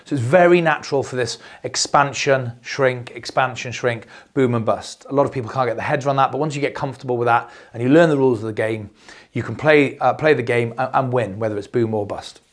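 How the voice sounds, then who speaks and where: A man's voice: mid-range voice, a little fast. A middle-aged man hosting a crypto video, talking off the cuff as he loses his voice, rambling and repeating words with many fillers. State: losing his voice. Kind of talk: unscripted explainer monologue.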